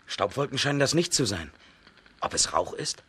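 An actor playing a strong, loyal young hero in a children's radio play, resolute and hearty. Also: male voice, mid-range voice, very animated, normal speed, a bit bright, slight background noise. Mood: wary. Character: strong heroic young man, steadfast, loyal friend.

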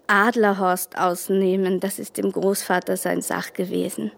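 An actress playing a lively, artless young farm girl in a German radio play, with eager, unguarded rustic speech. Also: mid-range voice, measured pace, vocal fry. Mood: chatty, proud.

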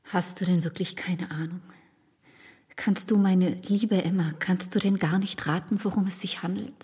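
An actress playing a distressed, affectionate married gentlewoman in a period radio drama, grave, hesitant and emotional. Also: lowish voice, fairly even intonation, normal speed, slightly rough. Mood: hesitant, troubled.

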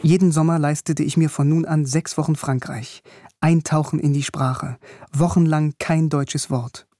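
An actor playing a wry, reflective East German philosopher and former soldier, narrating and talking in a radio drama. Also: male voice, a little high, fairly even intonation, normal speed, warm tone, dry recording, close to an everyday voice. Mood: reflective narration. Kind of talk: radio drama first-person narration and dialogue.

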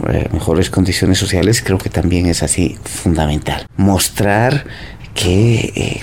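Male interviewee, low-pitched, formal and analytical, slight background ambience. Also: a little mellow. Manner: measured, formal, intellectual phrasing. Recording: faint ambient background noise.

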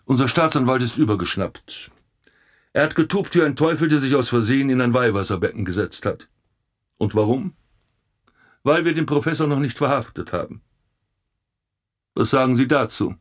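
An actor playing a blunt, grumbling police commissioner with a sardonic, gossipy manner in a German radio play. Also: male voice, medium pitch, moderate pace, pauses often, natural voice. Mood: sardonic, exasperated. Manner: sardonic, colourful.

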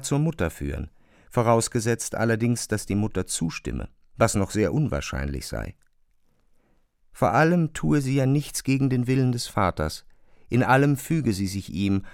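A man narrates a literary radio play in diction. His delivery is measured and deliberate, and the studio sound is close and dry. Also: mid-range voice, clear, resonant tone, dry recording.

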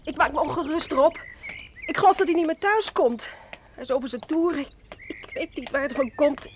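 A woman's voice: sits high, a little fast, slight background noise, close to an everyday voice. An actress playing an anxious, nagging middle-aged mother and neglected wife in a radio play, fretful and reproachful. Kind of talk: Dutch radio play acting.

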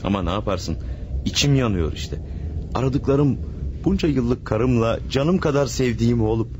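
An actor playing a grieving village husband in an old radio drama, hesitant and sorrowful. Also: male voice, mid-range voice, animated, normal speed, darkish tone, slight background noise, natural voice. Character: grieving village husband searching for his family. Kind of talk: vintage radio drama acting.

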